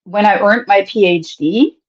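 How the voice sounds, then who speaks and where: A woman from Germany with a German accent, speaking as an animated podcast guest who laughs often and lets her pitch swing widely. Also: moderate pace, dull audio. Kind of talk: casual interview talk.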